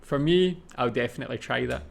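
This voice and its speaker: A man's voice: medium pitch, brisk, gravelly. A man with a Scottish accent giving casual, musing off-the-cuff commentary as host of a reaction video. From Scotland.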